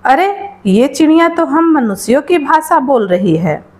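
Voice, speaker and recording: A woman's voice: high-pitched, big pitch swings, unhurried, a little mellow. A woman voicing a greedy, nagging woodcutter's wife in a storybook tale, with a scolding, sarcastic manner. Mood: disbelieving.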